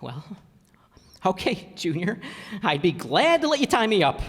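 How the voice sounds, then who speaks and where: Male actor as cowardly blustering working-class pop, folksy, very animated delivery, radio comedy, hall reverb. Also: high-pitched, measured pace, husky, exaggerated voice. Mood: grudging. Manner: folksy ungrammatical speech, stammers when scared. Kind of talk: old-time radio comedy acting. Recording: echoey hall stage recording.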